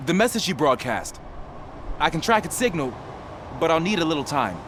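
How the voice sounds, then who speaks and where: Nervous, animated rookie cop. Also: male voice, on the high side, moderate pace, background noise. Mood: tentative. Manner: hesitant, unsure. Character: nervous, self-doubting young rookie male cop.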